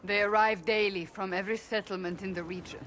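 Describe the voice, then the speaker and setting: Woman acting grave, low-voiced warrior woman. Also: steady tone, a bit bright, a little hiss or hum, lightly acted. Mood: solemn, earnest. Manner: grave, measured, formal delivery. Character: stern, devout warrior woman, earnest and resolute. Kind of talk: dramatic fantasy game acting. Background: orchestral music.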